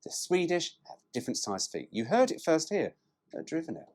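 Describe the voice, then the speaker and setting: A man's voice: fairly high pitch, wildly swooping pitch, fairly quick, a little mellow. A man reading a brochure aloud as a presenter, dropping in cheeky, irreverent, sweary asides.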